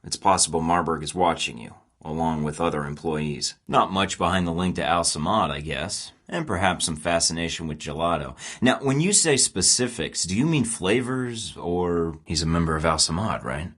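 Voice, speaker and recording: A man's voice: deep voice, expressive, normal speed, few pauses, a bit bright. An actor playing a terse, dry-witted male spy agent in game voice lines. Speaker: video game character.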